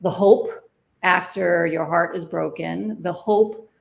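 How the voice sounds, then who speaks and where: Low, gravelly-toned woman musician chatting. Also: steady tone, slow pace. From Hawaii. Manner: thoughtful, many fillers and restarts, laughs easily. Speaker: jazz artist guest. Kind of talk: casual interview chat.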